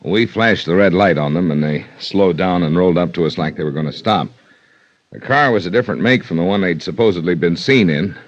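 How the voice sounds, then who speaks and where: Casting: weary highway cop, deep and measured, plain-spoken, muffled vintage radio drama recording. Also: male voice. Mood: matter-of-fact. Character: weary, rueful highway police lieutenant, plain-spoken adult man. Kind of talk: 1950s radio drama acting.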